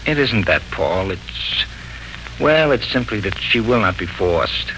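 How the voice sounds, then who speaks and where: A man's voice: lowish voice, expressive, unhurried, sharp tone, exaggerated voice. A man acting an impatient, lovelorn suitor in a 1940s radio drama, hesitant, folksy and wryly self-mocking. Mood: rueful, anxious. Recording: noisy 1940s radio broadcast recording.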